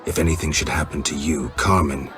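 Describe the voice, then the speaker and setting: A stoic fantasy game monster hunter with a rock-bottom, gravelly voice. He is terse and deadpan, never showy. Prominent music plays behind him. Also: very deep voice, a little fast. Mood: terse, resolute. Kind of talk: video game voice acting.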